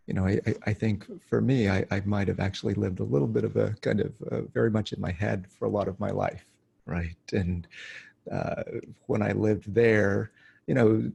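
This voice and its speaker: A man on a panel talking in a casual, reflective way, hesitant and halting, with many fillers and repeated words, over a video call. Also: on the low side, creaky, mellow, husky. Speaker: panelist, somatics speaker.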